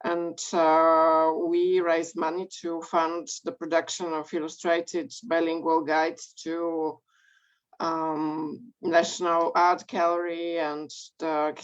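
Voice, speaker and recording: Slow-talking woman. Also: fairly low pitch, flat delivery, clear, resonant tone. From Bulgaria. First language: Bulgarian. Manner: measured, frequent ums and pauses. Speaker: guest speaker. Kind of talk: online interview, career story. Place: home or office in Belgium. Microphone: video call. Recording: dull lo-fi call audio.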